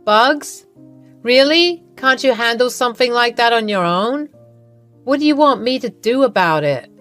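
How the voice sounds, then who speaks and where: A woman's voice: fairly high pitch, animated, unhurried, fairly bright tone, faint music behind, voice pushed up. A woman voicing a rude, dismissive middle-aged mother-in-law who talks in a curt, impatient, scornful way.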